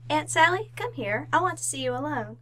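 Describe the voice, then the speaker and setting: A woman's voice: sits high, sing-song, a little fast, a bit bright. A woman playing an eager, earnest young niece confiding in her aunt in an old-time radio commercial.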